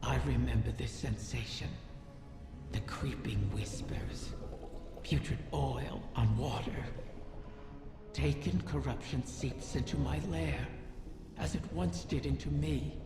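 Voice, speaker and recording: An actor playing an ancient, cunning dragon-like creature in a video game, tempting and vengeful, with a silky, menacing, theatrical delivery. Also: male voice, mid-range voice, slow, vocal fry. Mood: brooding menace. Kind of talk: scripted video game dialogue. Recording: cavernous long reverb, music behind. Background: game music.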